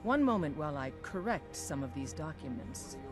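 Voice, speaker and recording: A shrewd fantasy game officer, voiced by a male actor, businesslike and commanding. The voice sits fairly high and moves around in pitch, with music underneath. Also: lively intonation, normal speed, lightly acted. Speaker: military commander game character. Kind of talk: scripted video game voice acting.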